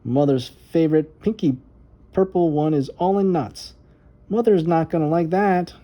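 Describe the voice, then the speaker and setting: A woman voicing an eager, bossy little girl in a children's storybook, high and childlike with an emphatic singsong delivery. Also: measured pace, highs cut off, lightly acted. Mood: matter-of-fact.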